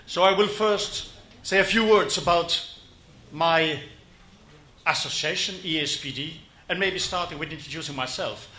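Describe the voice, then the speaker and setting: A man's voice: on the high side, bright, forceful tone, slight background noise. Flemish-accented man speaking in an echoey hall. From Belgium. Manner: halting, many fillers and restarts. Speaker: conference speaker. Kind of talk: conference presentation. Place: conference hall. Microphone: podium microphone.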